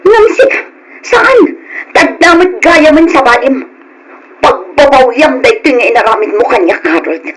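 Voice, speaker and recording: A woman's voice: high-pitched, animated, normal speed, poor audio. A Filipino woman playing a wronged young wife, bitter, accusing and emotional, in an old radio drama.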